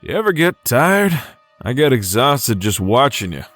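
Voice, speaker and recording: A man's voice: mid-range voice, sing-song, a little slow, a bit bright, faint music behind. A man voicing a laid-back outlaw gunslinger with a dry, teasing manner in a fantasy drama. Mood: wry, casual.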